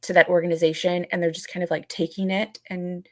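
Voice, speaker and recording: Low, even-toned female podcast co-host. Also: fairly quick, no room sound. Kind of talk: casual explanatory discussion going through a list.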